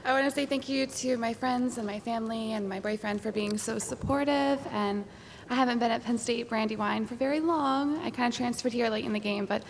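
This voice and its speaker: Bubbly young woman with a gravelly edge. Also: on the high side, brisk, a little hiss or hum. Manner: bubbly, informal, laughs. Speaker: graduating student. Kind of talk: casual commencement speech. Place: graduation ceremony.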